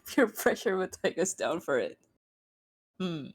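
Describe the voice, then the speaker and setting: A woman's voice: on the low side, very animated, takes it slowly, husky. A woman streaming casually, teasing her guest and chatting with her viewers in a laid-back way.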